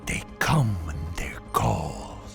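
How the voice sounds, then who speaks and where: A man voicing a sinister demonic monster in a menacing, gravelly, drawn-out whisper for a sound design demo. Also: on the low side, sing-song, over music, exaggerated voice. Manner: slow, drawn-out, breathy delivery.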